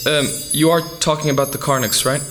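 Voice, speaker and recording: Actor as a gullible, high-voiced young lad. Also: male voice, fairly even intonation, brisk, a bit bright, natural voice. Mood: naive, hesitant. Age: young adult. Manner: hesitant, naive. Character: gullible, eager, lovestruck young jazz musician. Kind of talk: comic radio play acting. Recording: live stage, music behind.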